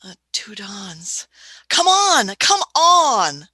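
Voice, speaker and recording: A woman playing a fussy, excitable old alien time traveller in an amateur radio play, stammering as she talks. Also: fairly high pitch, very slow, no echo, exaggerated voice. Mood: hurried.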